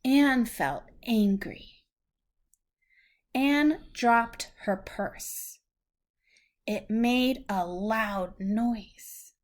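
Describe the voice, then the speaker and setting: A woman's voice: fairly high pitch, drawn-out words, long pauses. A woman reading a story aloud to a young child, patiently explaining things in simple, teacherly phrases.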